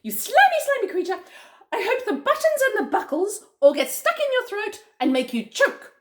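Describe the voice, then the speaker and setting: A woman voicing an excitable, cheeky monkey in a children's story, exclamatory and easily horrified. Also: slow, reverberant, heavily acted. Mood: indignant. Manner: high, swooping, exclamatory.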